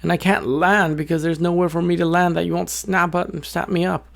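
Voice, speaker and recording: A man's voice: a little high, flat delivery, fairly quick, gravelly, pitched above the performer's own voice. A man voicing a small, frightened little bird that stammers and pleads in a children's story. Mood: anxious, explaining. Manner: stammering, repeats words.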